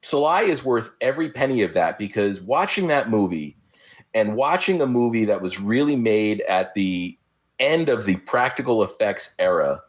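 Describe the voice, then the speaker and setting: A man's voice: lowish voice, expressive, normal speed. A man in his forties, hosting a podcast and speaking earnestly in casual chat. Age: 40s. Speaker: podcast host.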